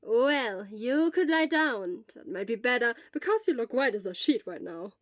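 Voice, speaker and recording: A woman doing a breezy, loud young hero who teaches on the side. He talks high and bright, with an easy, joking warmth, in a dry, close storybook reading. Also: animated, normal speed, no echo, close to an everyday voice. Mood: caring. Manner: raised bright casual voice.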